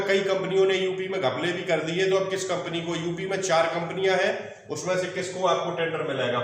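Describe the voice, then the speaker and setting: High-voiced man teaching, very echoey. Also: slight background noise. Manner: instructive, reassuring, mixes in English terms. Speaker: exam coaching teacher. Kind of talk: explanatory online lesson, point by point. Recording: very echoey room, distant mic.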